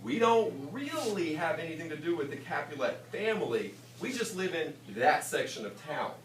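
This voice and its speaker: Teacher heard from across a classroom. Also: male voice, on the high side, very animated, crowd noise. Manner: brisk, questioning, plays off students. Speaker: English teacher. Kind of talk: classroom teaching, leading discussion. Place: school classroom. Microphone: camera across the room. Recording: roomy classroom from far off.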